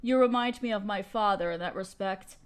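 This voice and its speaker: A woman's voice: mid-range voice, fairly quick, smooth, close to an everyday voice. A woman playing a haughty evil queen and sorceress in an audio roleplay, speaking in a theatrical, condescending, commanding way. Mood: wistful, bitter. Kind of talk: scripted audio roleplay monologue.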